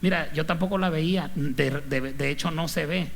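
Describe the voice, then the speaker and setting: A man's voice: a little high, normal speed, creaky, a bit bright. A man with a northern Mexican accent preaching as a pastor in a conversational, anecdotal style with punchy, clipped delivery.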